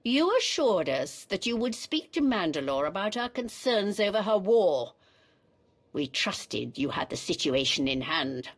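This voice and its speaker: A woman's voice: mid-range voice, very animated, measured pace. A woman playing a haughty, commanding Sith Empress in a scripted video game, speaking formally. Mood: stern reproach. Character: imperious Sith Empress demanding answers.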